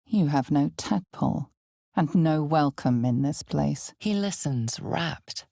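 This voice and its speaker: A woman voicing an ominous, knowing narrator for a fantasy video game, speaking in a measured, portentous way with a breathy, gravelly voice. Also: low-pitched, lively intonation, mellow, no echo, clean studio sound, full character voice. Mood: hushed, portentous. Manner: measured, deliberate, portentous delivery. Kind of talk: dramatic scripted second-person fantasy narration.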